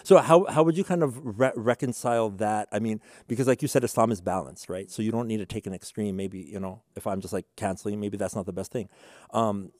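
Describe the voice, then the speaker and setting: A man's voice: fairly low pitch, fairly quick, darkish tone, no echo, studio quality. A man hosting a casual interview, informal, working his way toward questions through examples and check-in phrases. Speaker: interviewer, host.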